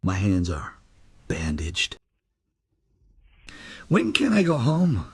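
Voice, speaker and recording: An actor playing a confused, gentle old widower in a radio drama, breathy and halting. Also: male voice, deep voice, huge pitch swings, no room sound, heavily acted. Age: older. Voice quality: husky, breathy.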